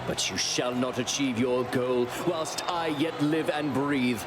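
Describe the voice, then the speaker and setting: An actor playing a boastful, haughty dead champion in a video game, declaiming pompously. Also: male voice, mid-range voice, takes it slowly, background noise, close to an everyday voice.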